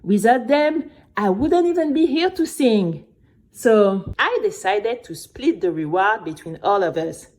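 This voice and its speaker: A woman's voice: medium pitch, unhurried, close to an everyday voice. A woman playing an earnest, chatty young girl who narrates her own adventure expressively, talking straight to the listener. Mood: excited, earnest. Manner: expressive, conversational, addresses listener. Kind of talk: animated first-person story narration.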